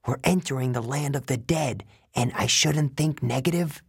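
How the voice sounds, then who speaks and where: A man's voice: mid-range voice, moderate pace, gravelly, husky, no echo, clean studio sound, pitched above the performer's own voice. A man voicing an earnest, brave but anxious young girl in a storybook narration, speaking directly. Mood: worried.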